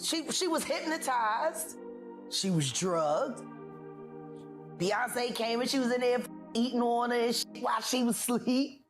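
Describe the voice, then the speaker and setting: Blunt, foul-mouthed woman ranting casually about gossip, voice on the high side, faint music bed. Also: unhurried. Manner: blunt, profane. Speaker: commentator.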